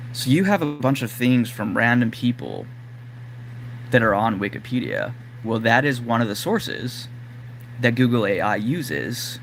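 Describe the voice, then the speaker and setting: A man whose voice is going hoarse, talking casually and rambling to his live-stream audience with plenty of fillers. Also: medium pitch, fairly bright tone, a little hiss or hum, poor audio. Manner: rambling, lots of fillers like "like" and "you know". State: losing his voice, throat-clearing. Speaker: livestream host.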